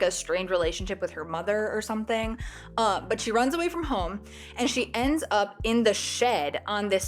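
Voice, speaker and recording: Slightly stuffy woman chatting about books, music behind. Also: mid-range voice. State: slightly stuffy, recovering from a cold. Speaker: book review vlogger. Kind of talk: casual chat to camera, book review.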